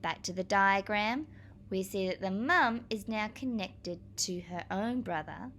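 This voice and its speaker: A woman reads to young children at a slow, measured pace. She speaks clearly and expressively, as a teacher does, adding simple explanations. Her voice sits at a middle pitch, with faint noise in the recording. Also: a bit bright. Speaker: primary school teacher reading aloud. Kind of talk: children's read-aloud with explanatory asides.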